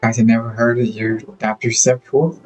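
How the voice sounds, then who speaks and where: A man's voice: mid-range voice, flat delivery, brisk, a little mellow, soft background music, rough recording. A man giving casual live commentary as he streams a video game. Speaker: game livestreamer.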